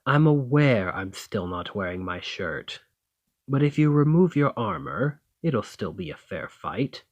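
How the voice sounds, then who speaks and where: A man's voice: fairly low pitch, very animated, unhurried, no echo, lo-fi sound. A man playing a dominant, teasing fantasy thane in an ASMR roleplay, commanding and flirtatious. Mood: challenging, playful. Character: dominant Nordic fantasy thane, teasing, confident warrior lord. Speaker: roleplay audio performer. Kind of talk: ASMR fantasy roleplay acting, addressing the listener.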